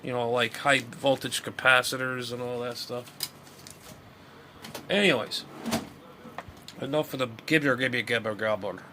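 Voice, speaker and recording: A man's voice: mid-range voice, measured pace, long pauses. A middle-aged man giving casual, rambling vlog commentary as a radio hobbyist, muttering, repeating himself and trailing off. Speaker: amateur radio hobbyist showing his gear. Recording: noisy recording with steady background noise.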